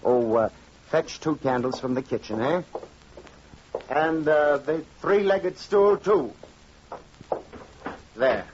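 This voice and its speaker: An actor playing a grave, careworn middle-aged fisherman father in old-time radio drama style, halting and earnest. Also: on the high side, lively intonation. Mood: grave, resolved. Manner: halting, earnest, repeating words. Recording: dull, noisy vintage radio recording.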